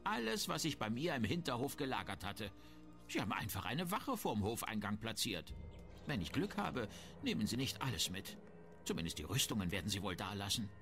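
Shrewd, very animated fantasy merchant. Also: male voice, on the low side, brisk. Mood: indignant grumbling. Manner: haggling, disdainful, self-important. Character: shrewd, grumbling, self-important male town merchant. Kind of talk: fantasy video game dialogue acting. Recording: music behind the voice.

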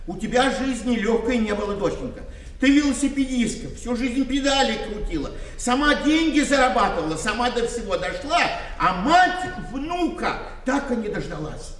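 A man's voice: very high voice, big pitch swings, moderate pace, a bit bright, echoey room, big-room sound, recorded from a distance, slight background noise, heavily acted, poor audio. An actor playing a confused, rambling old father from the village in a radio play. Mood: plaintive. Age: older. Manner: rambling, plaintive. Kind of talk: 1990 radio play acting.

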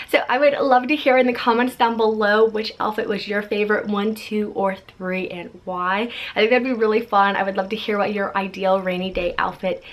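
Bubbly chatty young woman vlogger. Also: medium pitch. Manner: bubbly and chatty, many fillers like "like" and "so cute". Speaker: fashion vlogger. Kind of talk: casual fashion haul talk. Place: pink-decorated bedroom, indoors.

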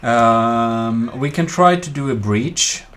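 A man's voice: medium pitch, takes it slowly. A man in his thirties streaming a game, chatting casually into a headset with lots of fillers and chuckles. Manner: relaxed, hesitant with many fillers, chuckling. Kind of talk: casual gameplay chat.

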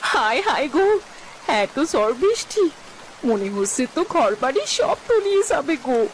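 A woman acting animated, high-pitched village wife. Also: moderate pace, slight background noise, rough recording. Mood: alarmed. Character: proud, scolding village wife in a folk-tale cartoon. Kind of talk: dramatic cartoon dialogue. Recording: music and rain under dialogue.

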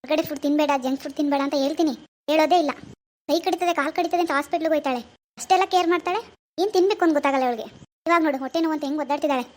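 In a family drama, a woman plays an exasperated mother-in-law who scolds at a brisk clip, her voice pitched very high. She nags and frets without pause. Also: darkish tone, close to an everyday voice. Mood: scolding.

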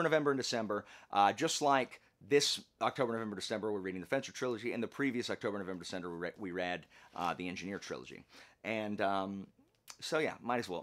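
A man with a fairly low voice that swings widely up and down as he talks. He chats like a vlog host, loose and folksy, with jokey asides and self-interruptions. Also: a bit bright. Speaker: book channel host. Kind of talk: casual vlog chat.

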